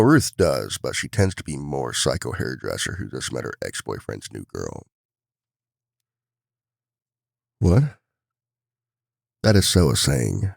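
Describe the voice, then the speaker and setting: A man playing a devoted, worried single-dad boyfriend in an intimate ASMR roleplay, confiding softly with a gravelly voice. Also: dry recording, clean studio sound, heavily acted. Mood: playful, fond. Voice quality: very deep, gravelly.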